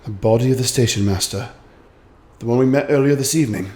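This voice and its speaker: A man playing a shrewd, calm detective in a radio play, brisk and commanding with a dry wit, in a roomy room. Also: medium pitch, close to an everyday voice. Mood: calm, deductive. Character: shrewd calm male detective, middle-aged. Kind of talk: community radio theater acting. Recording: roomy echo.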